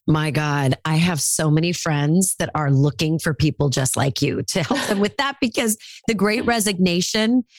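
A woman's voice: deep voice, normal speed, few pauses, no echo, clean studio sound. A woman in her late forties hosting a coaching call, with an upbeat, encouraging manner and playful asides. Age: 40s. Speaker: podcast host and speaking coach.

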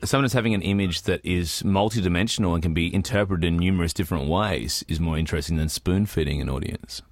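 A man's voice: deep voice, fast, no room sound. A man co-hosting a podcast in casual, discursive chat, often checking in with the listener as he explains his ideas. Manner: discursive, peppered with "you know". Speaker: podcast co-host. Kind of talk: casual opinionated arts discussion.

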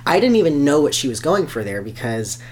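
A man's voice: fairly high pitch, big pitch swings, fairly quick, a little hiss or hum. A man co-hosting a podcast in casual comedic chat, with a wry, incredulous way of talking. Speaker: podcast co-host.

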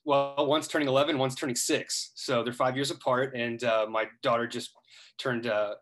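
A man in his thirties from Ohio answers interview questions in an earnest, hesitant way, restarting his sentences often. His voice sits at an ordinary middle pitch. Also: fairly even intonation, moderate pace. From Ohio, United States. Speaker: interview guest, musician. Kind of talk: casual interview.

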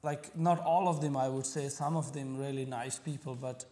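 A man from Pakistan with a Pakistani accent and Punjabi as his first language, speaking haltingly as a podcast guest. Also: mid-range voice, fairly even intonation, mellow. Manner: halting, searching for words, frequent fillers. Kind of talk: conversational interview.

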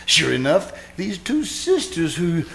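A man acting a high, creaky, pompous theatre star. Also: very animated, unhurried, a bit bright, exaggerated voice. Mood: self-satisfied, curious. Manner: hammy, gushing, self-important. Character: pompous, effusive, vain theatre star Ronald. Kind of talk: live stage re-creation of 1940s radio suspense.

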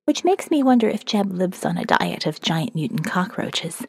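A woman's voice: on the low side, very animated, normal speed, darkish tone, slightly rough, dry recording, clean studio sound, long reverb tail, exaggerated voice. A woman reading as a sardonic young college student narrating her survivor's diary in a wry, profane, conversational way for an audio novel. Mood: wry, confiding. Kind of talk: first-person diary narration, dramatic reading.

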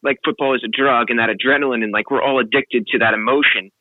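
A man's voice: mid-range voice, fairly even intonation, quick pace, no room sound. A man co-hosting a podcast, chatting casually and saying "like" often. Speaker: podcast co-host. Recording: tinny phone-like call line.

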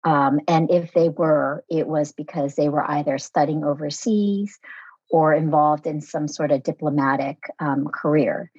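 A woman speaks reflectively as a podcast guest, often pausing on fillers. The recording is dry and a little muffled. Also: sits low, steady tone, darkish tone, dry recording. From Vietnam. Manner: thoughtful, reflective, with frequent fillers. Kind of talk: conversational interview.

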